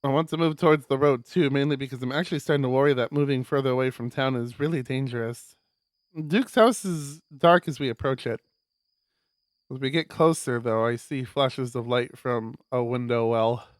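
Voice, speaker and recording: A man streaming a visual novel, reading it aloud as expressive narration with casual asides. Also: mid-range voice, normal speed, dry recording.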